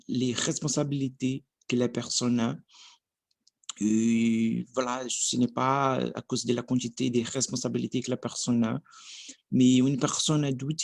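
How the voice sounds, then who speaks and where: A man's voice: mid-range voice, vocal fry, darkish tone, dry recording. A man in his thirties from Brazil, speaking a learned language with a Brazilian Portuguese accent, hesitant and restarting often as he practices. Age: 30s. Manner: hesitant, searching for words, frequent restarts. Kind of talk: casual practice monologue.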